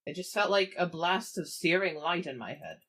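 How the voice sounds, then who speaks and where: A woman's voice: on the low side, lively intonation, moderate pace, a bit bright. A woman playing a weary, awkward female adventurer in a tabletop game, hesitant and understated. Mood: pained, subdued.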